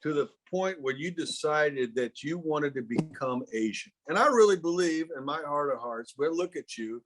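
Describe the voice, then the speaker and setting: A man's voice: a little high, lively intonation, moderate pace, a bit bright, poor audio. A man hosting a podcast, chatting casually and joking playfully with his guest. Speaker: podcast host. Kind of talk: casual chat.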